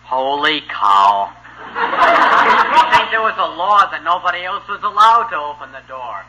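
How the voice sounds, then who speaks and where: An actor playing a put-upon, smart-alecky adolescent son in an old-time radio sitcom, with a whiny manner. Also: male voice, sits high, sing-song, unhurried. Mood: grumbling. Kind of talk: vintage American radio sitcom acting. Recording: humming, noisy old radio recording.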